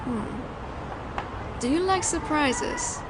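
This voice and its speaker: Clearly noisy city ambience surrounds a doting female mafia boss, played by a woman in a romantic roleplay audio drama. Her voice sits on the high side and she takes her time with each line. She flirts and teases, yet stays considerate, pausing as if her lover is answering. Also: lively intonation, full character voice. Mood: pleased, confident. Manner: soft-spoken flirting, teasing, pauses as if hearing replies.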